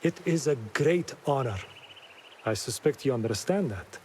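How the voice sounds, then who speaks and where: A man's voice: mid-range voice, lively intonation, moderate pace, darkish tone, slight background noise, natural voice. An actor playing a kindly, earnest male friend and mentor in a video game, speaking encouragingly. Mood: encouraging, proud. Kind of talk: video game cutscene acting.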